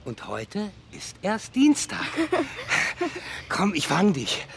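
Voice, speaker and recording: A man's voice: high voice, huge pitch swings, very slow, vocal fry, background noise, full character voice. A man playing a playful father in a radio play, spinning absurd stories in a whimsical, improvising manner.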